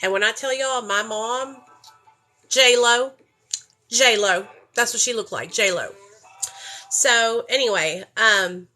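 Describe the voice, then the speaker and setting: A woman's voice: a little high, slow, long pauses, sharp tone. A young woman from the rural United States, candidly telling her personal story to a group and addressing them directly. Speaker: speaker sharing her recovery story.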